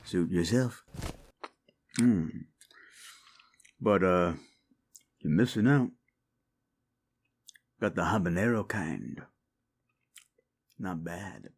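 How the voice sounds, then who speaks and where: A man voicing a gruff, seasoned demon monster hunter in an action-horror audio roleplay, with a gravelly voice and a wry, laconic, protective manner. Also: sits low, expressive, drawn-out words, long pauses, heavily acted. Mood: wry, relaxed.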